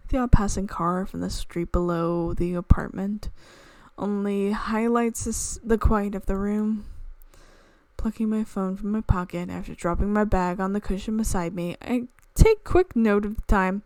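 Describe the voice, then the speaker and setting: A woman streamer reading a visual novel aloud in an expressive storytelling way, with asides. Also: mid-range voice, normal speed, dry recording. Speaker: video game streamer. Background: game music.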